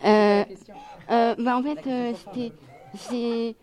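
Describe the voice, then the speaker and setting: A woman's voice: fairly high pitch, steady tone, slow, darkish tone. A young woman asking guests questions in a chatty, hesitant way, full of fillers. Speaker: audience member asking questions. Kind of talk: casual question.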